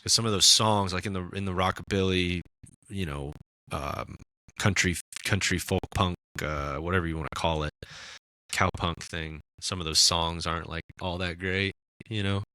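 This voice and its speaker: Raspy man, very low voice, rambling podcast chat, fillers and restarts, dry recording. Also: steady tone, moderate pace, pushed and bright, dry recording. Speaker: musician guest.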